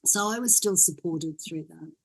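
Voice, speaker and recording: Older woman, from Wales, low-ish voice, gentle, reflective, interview guest, storytelling. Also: normal speed, vocal fry, poor audio. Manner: gentle, reflective, laughs often.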